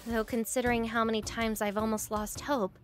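An actress playing an earnest, determined young princess in a video game. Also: female voice, on the high side, flat delivery, fairly quick, soft background music, lightly acted. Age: young adult. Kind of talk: dramatic video game acting.

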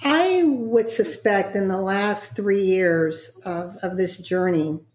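A woman interviewing on a podcast in an affirming, deliberate way, stacking words in lists for emphasis, with an echoey sound. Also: mid-range voice, darkish tone. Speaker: guest interviewer.

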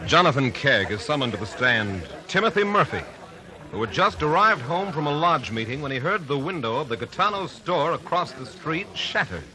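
A man's voice: on the high side, sing-song, moderate pace, a crowd in the background, poor audio. A man giving formal, solemn scripted narration in the style of 1950s radio drama.

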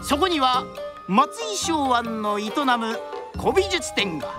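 A woman's voice: high-pitched, very animated, slow, over music. A woman narrating a drama from a script in a theatrical, traditional storyteller's style.

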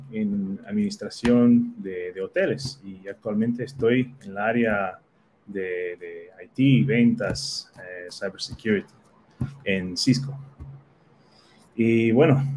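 A man's voice: fairly low pitch, a little mellow, slight background noise. A man in his thirties, born in the Netherlands and a native Portuguese speaker, answering interview questions thoughtfully with many fillers. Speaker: guest. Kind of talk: casual video-call interview. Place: small home office.

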